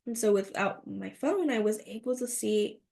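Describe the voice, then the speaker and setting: A woman's voice: mid-range voice, moderate pace, vocal fry, mellow. A woman in her thirties hosting a motivational podcast, speaking earnestly and asking rhetorical questions. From United States. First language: Mandarin. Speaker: podcast host.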